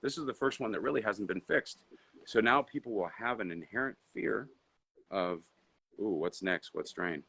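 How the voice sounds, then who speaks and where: Rambling low-voiced man who grew up in Indonesia. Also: moderate pace, creaky, pushed and bright, breathy edge. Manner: rambling, filler-heavy, restarts often. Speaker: guest entrepreneur. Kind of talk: casual live interview chat.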